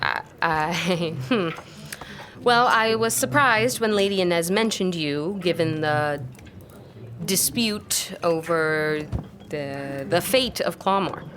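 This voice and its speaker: A woman's voice: on the low side, slow pace. A woman playing a proper, guarded governess in a period audio drama, polite and careful with hesitant phrasing. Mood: flustered, deferential. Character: proper, guarded young governess recounting events. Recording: loud music under the voice.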